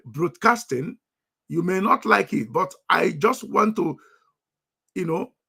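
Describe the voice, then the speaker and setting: A middle-aged man broadcasting live, commanding and forceful, repeating himself for emphasis. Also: a little high, vocal fry, husky.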